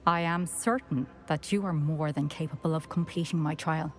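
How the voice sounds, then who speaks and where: A woman's voice: low-pitched, steady tone, moderate pace, close to an everyday voice. A woman playing a dignified older former headmistress in a video game, formal, precise and wry. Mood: poised. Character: brisk, dignified older female former headmistress, dryly confident. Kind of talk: video game drama acting.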